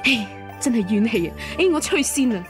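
A woman's voice: on the high side, lively intonation, fairly quick, music in the background, close to an everyday voice. A woman acting in a radio drama as a wily, money-minded old matchmaker, chatty and wheedling.

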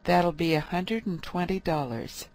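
A man's voice: high voice, unhurried, creaky, darkish tone, rough recording. A man playing a polite airline ticket agent in a scripted lesson dialogue.

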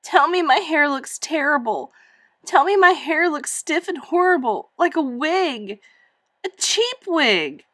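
Female narrator as a tearful teen girl, storybook role, high and wobbly. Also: takes it slowly, dry recording. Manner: pleading, on the edge of sobbing. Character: upset teenage girl, tearful and self-conscious.

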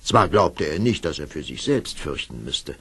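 A man narrating a children's fairy-tale radio play in an expressive storytelling manner. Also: extremely low pitch, moderate pace, breathy edge, exaggerated voice.

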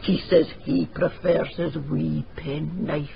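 Radio drama: morbid Scottish housekeeper, drawn-out delivery, lo-fi sound. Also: female voice, sits low, steady tone, creaky, exaggerated voice. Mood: ominous. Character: gloomy rat-obsessed Scottish housekeeper, a married woman. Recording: dull lo-fi radio recording, background hiss.

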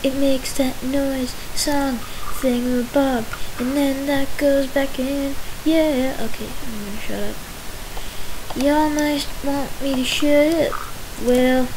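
A woman's voice: high voice, fairly even intonation, slow. A young woman giving a rambling, self-interrupting commentary while touring a game world she built. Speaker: Minecraft video host. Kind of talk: casual gameplay tour commentary. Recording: hissy home recording.

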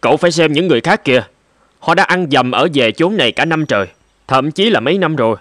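A man's voice: on the high side, lively intonation, quick pace, fairly bright tone, no room sound, studio quality. A man playing a weary, grumbling gold prospector in cartoon dialogue. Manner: complaining.

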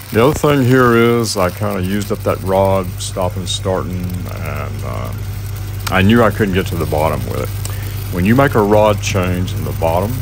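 Deep-voiced folksy male welding instructor. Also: noisy background. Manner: folksy, plainspoken, practical. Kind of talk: instructional voice-over narration.

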